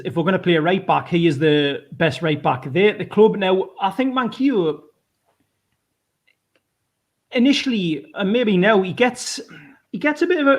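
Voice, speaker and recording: A man with a Geordie accent giving his football opinions in casual podcast chat, animated, often restarting his sentences. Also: high-pitched. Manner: animated, self-interrupting, many restarts. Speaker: podcast regular.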